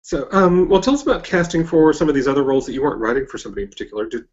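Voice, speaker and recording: An interviewer with an androgynous voice, hosting a casual chat and asking questions haltingly. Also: medium pitch, animated. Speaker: interviewer, host. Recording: dull, lo-fi internet call.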